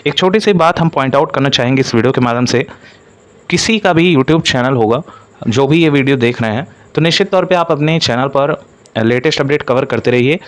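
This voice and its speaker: Male coaching presenter, mid-pitched, explanatory delivery for an informational update to students. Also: fairly quick. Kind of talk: informational update addressed to exam candidates.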